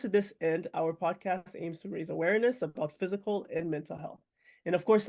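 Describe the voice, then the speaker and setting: A man's voice: high-pitched, a little mellow. A man hosting a podcast, reading an upbeat scripted introduction of the show and guest. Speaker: podcast host.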